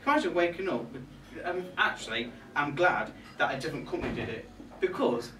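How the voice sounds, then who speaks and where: A man's voice: on the high side, expressive, fast, sharp tone, recorded from a distance. Young guy playing a bitchy grumbling reindeer, indignant and gossipy, really swoopy voice, echoey pub stage reading. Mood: chatty, arch. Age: young adult. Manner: indignant, gossipy, arch asides to the audience. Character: catty, resentful male reindeer grumbling about Santa's crew. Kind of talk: comic stage monologue read to a live audience. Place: small pub room with a live audience. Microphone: far camera. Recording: echoey room from afar, background noise.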